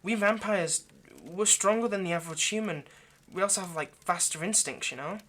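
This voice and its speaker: A man playing an anxious, lovesick vampire kidnapper in an intimate audio roleplay, speaking in a halting, pleading way. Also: sits high, pushed and bright. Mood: agitated, defensive, earnest. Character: anxious, lovesick male vampire kidnapper, centuries old but youthful.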